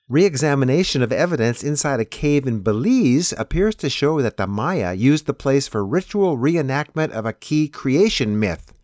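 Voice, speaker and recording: A man's voice: mid-range voice, a little mellow, no echo. Male host for scripted show intros and announcements, very varied intonation, dry recording.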